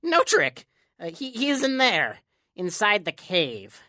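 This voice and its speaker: Woman acting as small thin male stranger, sly tempter, insinuating, sharp bright tone, dry audio drama sound. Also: mid-range voice, huge pitch swings, no echo, exaggerated voice. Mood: urgent, persuasive. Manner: insinuating, coaxing, dramatic pauses.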